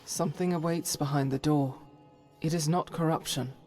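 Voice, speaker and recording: An actress playing a devout, troubled warrior woman in a fantasy game, solemn and earnest with halting delivery. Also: sits low, fairly even intonation, a little mellow, soft background music, close to an everyday voice. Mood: grave, resolved. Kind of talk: dramatic fantasy game voice acting.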